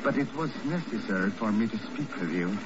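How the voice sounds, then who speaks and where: A man's voice: fairly low pitch, lively intonation, fairly quick, very noisy, close to an everyday voice. Over-polite tobacco merchant played by a man, florid courteous phrasing, vintage radio spy drama, dull old recording. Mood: ingratiating. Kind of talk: 1950s radio drama acting.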